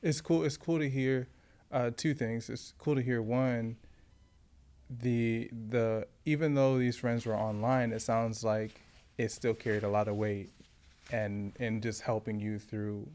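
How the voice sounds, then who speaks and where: A man's voice: mid-range voice, steady tone, unhurried, a little mellow. A man hosting a podcast, asking questions in a laid-back, affirming way, using slang and thinking aloud with restarts. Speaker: podcast host. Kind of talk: casual interview chat.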